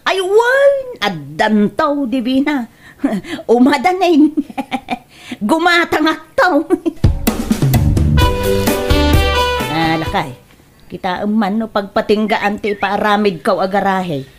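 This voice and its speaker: Woman acting a fussy, slow-drawling Ilocano wife. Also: on the high side, sing-song, over music. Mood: excited, boastful. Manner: drawn-out complaining, theatrical sighs and exclamations. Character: fussy, sleepless, coffee-loving wife, bickering and scheming. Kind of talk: Ilocano comedy radio drama acting.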